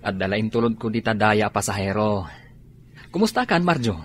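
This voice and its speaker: An actor playing an easygoing neighbor in a radio drama, with an Ilocano accent. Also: male voice, lowish voice, animated, moderate pace, breathy edge, soft background music. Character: Joel, a friendly neighbor man.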